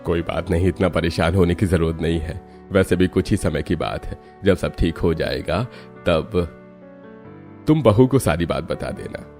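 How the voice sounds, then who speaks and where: A man voicing a calm, reassuring middle-aged father-in-law in a Hindi family cartoon. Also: low-pitched, measured pace, soft background music.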